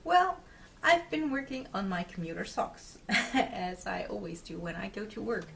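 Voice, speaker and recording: A woman's voice: mid-range voice, animated, measured pace, gravelly, fairly bright tone, recorded from a distance, a little hiss or hum. Dutch woman in her twenties, chatty. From Netherlands. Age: 20s. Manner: chatty, many filler words and giggles. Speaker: knitting podcast host. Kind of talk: casual chat to camera. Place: small room at home, indoors.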